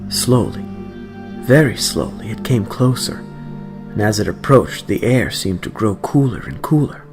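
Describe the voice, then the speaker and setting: A man's voice: fairly low pitch, lively intonation, a little slow. A man narrating an audiobook story in a dramatic, scripted reading style. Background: background music.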